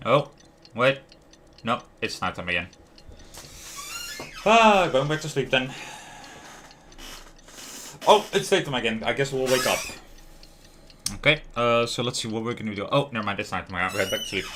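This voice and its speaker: A man's voice: medium pitch, expressive, normal speed, pauses often, pushed and bright, a little hiss or hum. A man livestreaming a video game with casual commentary, often talking to himself as he plays. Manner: muttering reactions, talks to himself. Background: faint music.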